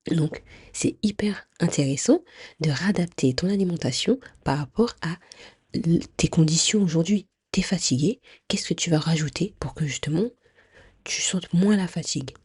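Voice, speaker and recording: Low, breathy woman with a stuffy nose. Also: darkish tone, no echo, studio quality. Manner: chatty, addresses listener directly, many fillers and restarts. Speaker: podcast host. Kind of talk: casual advice podcast monologue.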